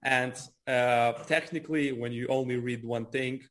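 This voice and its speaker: A man's voice: mid-range voice, steady tone, unhurried, fairly bright tone. Casual man in his early twenties. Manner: repeats words, frequent "kinda" and "you know". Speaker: trader and trading educator being interviewed. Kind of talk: casual interview answers.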